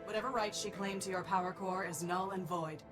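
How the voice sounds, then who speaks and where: A woman voicing a stern, vengeful female robot of law in a video game, speaking in a solemn, formal, deliberate way. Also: mid-range voice, fairly even intonation, lightly acted. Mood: grim resolve. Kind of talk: dramatic video game voice acting. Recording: loud music under the voice.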